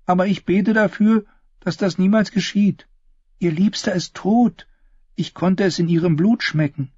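A male reader puts on a grim, knowing woman of the docks in an audiobook. The pitch is lifted far above his own, the tone ominous, with a husky edge and a slightly dull sound. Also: unhurried, a little mellow, no room sound. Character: grim one-eyed prostitute woman, ominous. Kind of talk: audiobook character voice.

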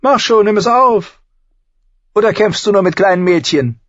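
Man playing an arrogant teenage prince, pitched way up. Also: big pitch swings, measured pace, no room sound, muffled recording, heavily acted. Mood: taunting, commanding. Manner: haughty, commanding. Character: arrogant, cruel teenage prince, taunting and commanding.

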